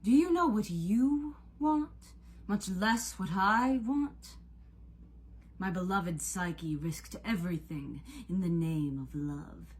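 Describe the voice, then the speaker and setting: A woman voicing an imperious male god of love in a storybook, drawn-out, menacing and declamatory. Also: lowish voice, breathy edge. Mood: taunting disappointment.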